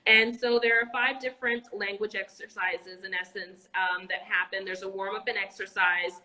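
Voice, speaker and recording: Bright-voiced woman, enthusiastic educator. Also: medium pitch, steady tone, normal speed, poor audio. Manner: enthusiastic, frequent "um" fillers and restarts. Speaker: guest, educator and entrepreneur. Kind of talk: casual interview talk. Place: Anguilla. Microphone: video call.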